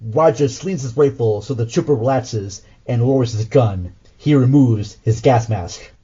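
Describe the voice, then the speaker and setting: A man's voice: medium pitch, measured pace, dark tone, breathy edge, highs cut off. A man reading scripted audio drama narration as the host.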